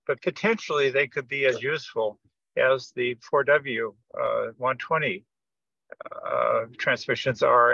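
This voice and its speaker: A man with a creaky, bright voice explains technical details on a teleconference. He speaks haltingly, doubling back over words. The audio is dry and somewhat dull. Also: mid-range voice, no echo. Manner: halting, hesitant delivery with repeated words. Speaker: project participant giving an update. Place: remote teleconference.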